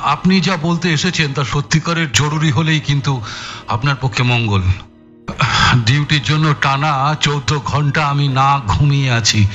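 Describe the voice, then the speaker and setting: A man playing a tired, irritable, sarcastic police detective in an audio thriller, gruff and condescending with weary sighs. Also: on the high side, a bit bright, dull audio. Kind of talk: Bengali audio thriller acting.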